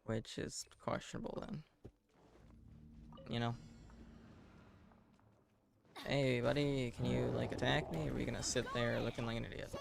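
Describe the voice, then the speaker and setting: A man giving casual live video game commentary with a dry, deadpan, self-deprecating manner. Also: medium pitch, normal speed, creaky.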